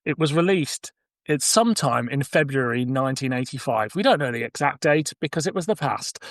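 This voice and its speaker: A man's voice: mid-range voice, expressive, brisk, no echo. Male podcast host, close dry sound. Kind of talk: casual podcast chat with scripted summaries.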